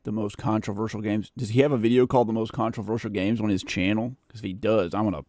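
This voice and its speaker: Deep-voiced man chatting on stream. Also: fairly even intonation, fast, mellow, no room sound, studio quality. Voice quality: naturally bassy voice. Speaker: livestream host editing a video. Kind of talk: casual livestream chat while editing. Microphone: studio condenser microphone, close.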